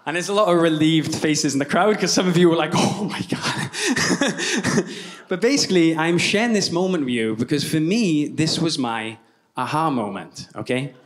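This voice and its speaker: A man's voice: fairly high pitch, lively intonation, a little fast. A young man with a Welsh accent telling stories with self-deprecating humor in a keynote talk on a conference stage, speaking into a handheld mic. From Wales. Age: young adult. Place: large conference hall stage.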